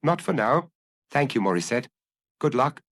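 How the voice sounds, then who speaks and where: A man voicing a calm, terse police inspector with a measured, probing, noncommittal manner in an audiobook. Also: medium pitch, no echo, studio quality, pitched above the performer's own voice.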